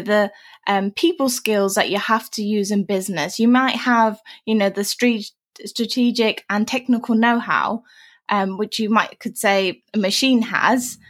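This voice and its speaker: A woman hosts an interview podcast in a slightly high voice. She asks questions and sums up her guest's points in a friendly, encouraging way, filling pauses with um and you know. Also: a bit bright.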